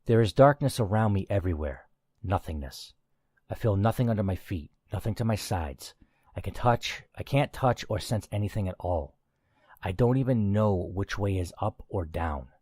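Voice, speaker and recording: A man's voice: deep voice, animated, moderate pace, a little mellow, husky, no room sound, clean studio sound. A man reading a first-person game story aloud as the player, in a dramatic narrating style.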